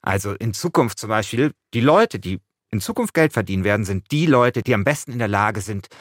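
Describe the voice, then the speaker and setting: A man's voice: on the low side, expressive, fairly quick, no echo, clean studio sound. A middle-aged man hosting a podcast as a journalist, speaking colloquially in a mix of casual chat and first-person narration.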